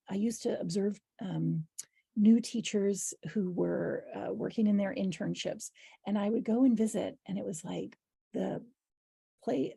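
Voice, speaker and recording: Husky, hoarse woman explaining as a trainer. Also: medium pitch, dark tone, dry recording, studio quality. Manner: conversational, explanatory. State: hoarse voice, clearing her throat. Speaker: early childhood educator leading a training. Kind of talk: informal instructional presentation with examples.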